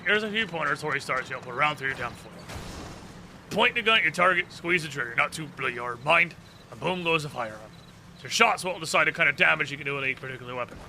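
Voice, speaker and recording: A man voicing a gruff, brisk gunsmith mentor from a fantasy game, reading the dialogue in salty colloquial turns of phrase. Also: on the high side, sharp tone, slight background noise, full character voice. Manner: salty, colloquial, fast instructing.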